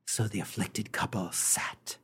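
Radio storyteller , played by a man: unhurried, florid, mock-solemn, easily exasperated comic delivery. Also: fairly low pitch, steady tone, a bit bright, husky, in a whisper, heavily acted. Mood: melodramatic. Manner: theatrical, mock-grandiose, addressing the listener. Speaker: narrator.

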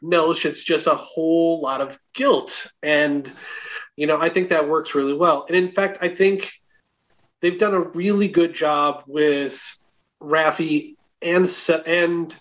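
Higher-pitched man, dull lo-fi audio. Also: steady tone, slow, a bit bright. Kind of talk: casual TV episode review.

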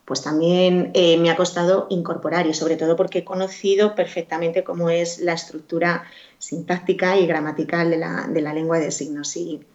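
A woman's voice: fairly low pitch, flat delivery, normal speed, a little mellow. A woman guest in a podcast interview, answering thoughtfully with many fillers and restarts. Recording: dull lo-fi audio.

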